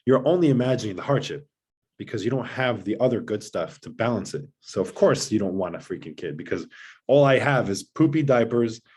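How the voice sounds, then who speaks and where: A man, a father of seven, guesting on a podcast and talking earnestly in long rushing runs of advice. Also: on the low side, a little mellow, breathy edge. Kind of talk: conversational advice.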